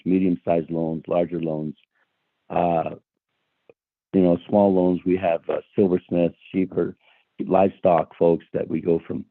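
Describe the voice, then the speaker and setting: A man speaks low and gravelly, hoarse from a cold, halting through his answers with many fillers. The sound is dry and tinny, as over a phone line, with no room around it. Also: no echo. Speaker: official answering committee questions. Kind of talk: formal testimony by phone.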